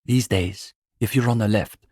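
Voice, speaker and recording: Young male student radical, lowish slightly husky voice, earnest, bookish, smugly doctrinaire, pedantic video game dialogue. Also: normal speed, dark tone, no room sound, studio quality. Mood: earnest, explanatory. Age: young adult. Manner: intellectual, opinionated, dryly pedantic.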